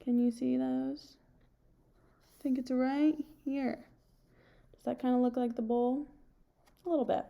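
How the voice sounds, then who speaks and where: Young woman, storytime teacher read-aloud, unhurried and slightly high-pitched, phrasing carefully for children. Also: fairly even intonation, gravelly, highs cut off. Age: young adult. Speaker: teacher reading a picture book aloud. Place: home kitchen, indoors.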